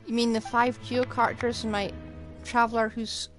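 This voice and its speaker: A woman's voice: a little high, little pitch movement, normal speed, fairly bright tone. A woman live streamer giving casual commentary while playing a game. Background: faint game music.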